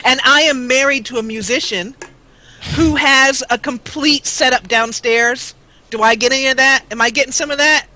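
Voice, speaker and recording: A woman's voice: sits high, normal speed, pushed and bright, no room sound, rough recording. A woman guest on a gaming live stream, chatting casually with playful, self-deprecating humor. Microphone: makeshift microphone.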